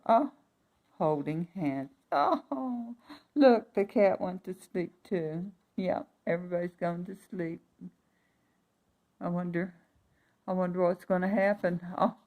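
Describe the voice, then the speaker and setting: A woman reading a fairy tale aloud for young children, expressive, with chatty asides and emphatic repetition. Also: fairly low pitch.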